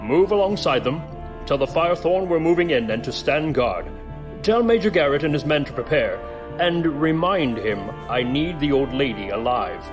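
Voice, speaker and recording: An actor playing a ruthless, coldly calculating military villain in a science fiction audio drama, giving curt commands. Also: male voice, a little high, big pitch swings, normal speed, over music. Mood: cold, commanding.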